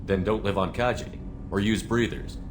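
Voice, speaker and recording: A man playing an earnest, steady starship commander in a video game, speaking in a direct, businesslike way. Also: fairly low pitch, moderate pace, background noise, natural voice. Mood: concerned, curious. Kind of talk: video game character acting.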